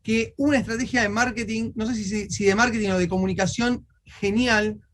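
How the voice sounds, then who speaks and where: Male interviewer, Argentine accent, noticeably high voice, conversational delivery. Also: fairly even intonation, brisk, a bit bright.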